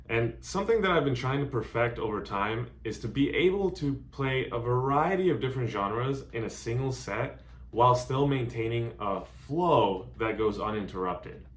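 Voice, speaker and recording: A man in his thirties, born in Hungary, giving an earnest, carefully worded introduction of himself as a DJ and music producer. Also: medium pitch. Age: 30s. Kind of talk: scripted self-introduction to camera. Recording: roomy echo.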